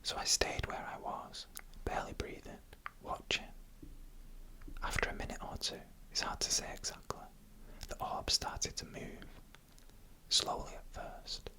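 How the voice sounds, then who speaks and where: A person narrating eerie stories as ASMR in a hushed, deliberate whisper, close to the microphone. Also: rough recording. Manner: slow, hushed, deliberate storytelling in an unvoiced whisper. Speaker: ASMR storyteller.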